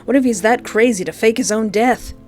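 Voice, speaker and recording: Woman lets player, animated dramatic readalong w goofy mild exclamations, music behind her. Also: a little high, a little fast. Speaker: gaming host reading a visual novel aloud. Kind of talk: animated let's play commentary with dramatic read-aloud. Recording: clear background music.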